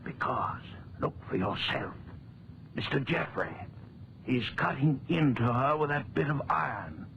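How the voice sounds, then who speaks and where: An actor in a 1940s radio horror drama playing a stolid, deferential village constable with a hesitant, folksy, placating manner. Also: male voice, mid-range voice, expressive, unhurried, gravelly. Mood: awed, uneasy. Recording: muffled, faintly noisy 1940s radio recording.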